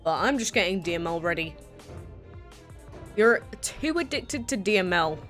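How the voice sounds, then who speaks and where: Young female streamer, late teens, animated pitch, rambling chat with viewers, faint music underneath. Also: moderate pace. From England. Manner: chatty, rambling, frequent "uh" and "basically". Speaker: live streamer. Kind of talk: casual live-stream chat, reading chat aloud.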